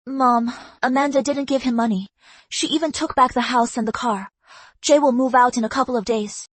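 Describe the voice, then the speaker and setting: A young actress playing a calm young woman with a teasing manner. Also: a little high, moderate pace, no echo, close to an everyday voice.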